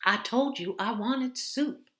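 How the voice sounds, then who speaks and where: A woman's voice: a little high, takes it slowly, fairly bright tone, roomy sound, pitched above the performer's own voice. Woman doing a warbly confused old mother. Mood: petulant.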